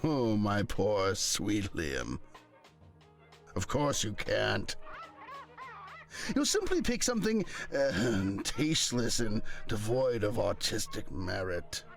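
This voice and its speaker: A man's voice: lowish voice, wildly swooping pitch, slow pace, gravelly, faint music behind, full character voice. A man playing a haughty, condescending film snob in a visual novel game, with a pompous, patronizing, theatrical manner.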